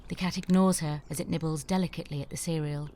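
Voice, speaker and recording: A woman's voice: low-pitched, steady tone, fast talker, warm tone, a little hiss or hum. Woman narrating screenplay directions. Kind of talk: scripted narration of stage directions.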